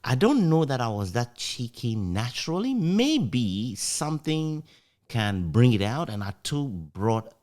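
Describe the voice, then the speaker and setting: A man with a Ghanaian accent, reminiscing casually as an interview guest in an animated, anecdotal way. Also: medium pitch, a little slow. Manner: animated, chuckles often while recounting anecdotes. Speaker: interview guest, former radio broadcaster.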